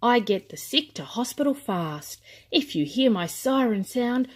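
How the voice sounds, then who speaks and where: A woman voicing helpful, proud rescue vehicles that introduce themselves in measured rhyme for a children's book. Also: on the high side, lively intonation, voice pushed up.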